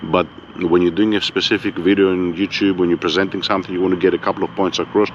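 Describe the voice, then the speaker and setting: Man, Greek accent, low voice, monotone, casual and profane, dull lo-fi audio. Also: fast talker, a little hiss or hum. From Greece. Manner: laid-back, swears freely, keeps saying "you know what I mean". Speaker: vlogger. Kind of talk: casual monologue.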